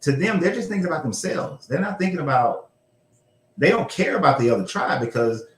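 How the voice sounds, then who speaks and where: A man's voice: on the high side, animated, fairly quick. Loose-talking man in a roomy room. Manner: loose, profane, corrects himself aloud. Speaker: show host. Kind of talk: casual history discussion. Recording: roomy echo.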